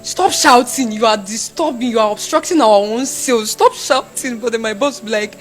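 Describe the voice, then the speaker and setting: A young Nigerian woman, a university student, talking casually in an interview and acting out shouted quotes. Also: a little high, normal speed, soft background music. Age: young adult. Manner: animated, acts out shouted quotes.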